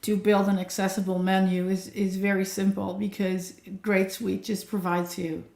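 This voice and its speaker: A woman's voice: mid-range voice, normal speed, vocal fry. Chatty woman on an echoey call. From Netherlands. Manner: chatty, energetic, self-described nonstop talker. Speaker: guest, accessibility coach. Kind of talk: livestream guest intro.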